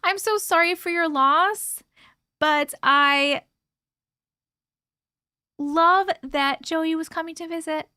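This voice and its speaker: Woman livestream host, high bright voice, dry close sound, gushing and chatty with her chat. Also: slow, pauses often, no echo. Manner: chatty, effusive, talks to chat viewers. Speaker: livestream host reading viewer stories. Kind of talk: casual live chat and reading aloud. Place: at home with her cat.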